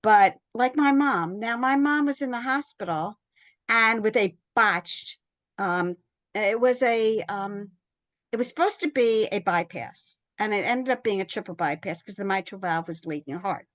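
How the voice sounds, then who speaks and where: A woman co-host speaks emphatically in a relaxed conversation, sometimes rushing through a phrase in a quick burst. Her voice sits at a medium pitch. The recording is dry and close, with no room echo. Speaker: bereavement specialist, co-host. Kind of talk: casual heartfelt conversation.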